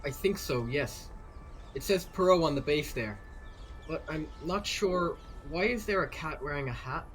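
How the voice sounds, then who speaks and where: A man's voice: fairly high pitch, animated, moderate pace, a bit bright, faint music behind. An actor playing a dutiful, hopeful young male kitchen helper in a children's audio drama. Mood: puzzled, shy.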